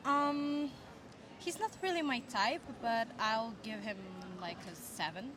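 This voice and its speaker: A young woman from Serbia answering a street interview outdoors, relaxed, giving short replies. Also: on the high side, a little slow, a crowd in the background.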